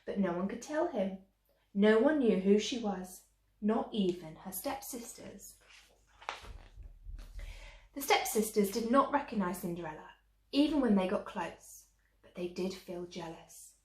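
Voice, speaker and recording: A woman's voice: mid-range voice, animated, measured pace, pauses often, a little mellow. A woman storyteller reading a children's tale expressively, like a teacher to young listeners. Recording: echoey room.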